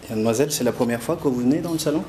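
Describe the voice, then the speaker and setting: A man's voice: medium pitch, racing, mellow, echoey room, a little hiss or hum. A man interviewing for a documentary, asking short, friendly prompting questions.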